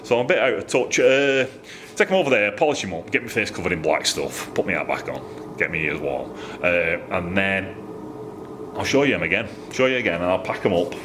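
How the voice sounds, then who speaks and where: A middle-aged man with a fairly low voice talks casually and enthusiastically, rambling a little and poking fun at himself. He records in his own workshop, with a faint hum of noise behind him. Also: a little fast. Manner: chatty, rambling, self-deprecating, enthusiastic. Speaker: motorcycle exhaust maker. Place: indoor workshop.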